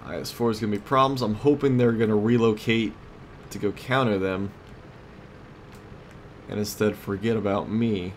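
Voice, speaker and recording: A man's voice: fairly low pitch, fairly even intonation, moderate pace, pauses often. A man giving casual let's play commentary on a video game, thinking aloud in short tactical remarks. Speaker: gamer, host of a let's play series. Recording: clear background game noise. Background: vehicle and battle sounds of a tank game.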